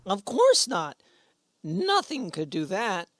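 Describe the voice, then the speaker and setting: A woman's voice: mid-range voice, wildly swooping pitch, slow, fairly bright tone, no echo, studio quality, exaggerated voice. A woman playing a gawky, earnest teenage schoolboy in a radio sitcom, wordy and easily flustered. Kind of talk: old-time radio sitcom acting.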